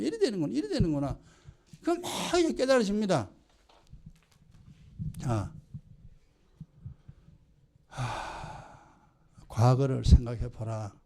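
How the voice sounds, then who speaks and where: Older korean pastor preaching w/ a gyeongsang accent, high voice that swings way up and down, keeps firing questions at the pews. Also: male voice. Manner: animated, scolding rhetorical questions to the congregation. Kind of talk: sermon.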